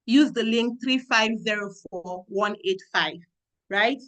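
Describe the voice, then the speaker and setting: A woman from Nigeria with a Nigerian English accent, moderating an online training session in a lively, exclamatory coaching manner over a video call. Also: mid-range voice, slow, rough recording.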